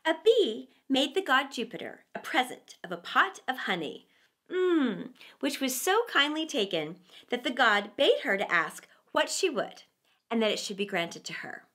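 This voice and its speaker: A middle-aged woman reading aloud to children as a storyteller, with theatrical, deliberate phrasing. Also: high voice, very animated, fairly bright tone. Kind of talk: expressive read-aloud for children.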